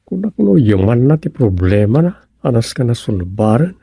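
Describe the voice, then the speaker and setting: A man playing a weary village chairman in a comic radio drama, with a gravelly voice, an Ilocano accent and sighing exclamations. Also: mid-range voice, very animated, normal speed, mellow, no echo, clean studio sound, full character voice. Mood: exasperated. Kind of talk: radio drama comedy acting.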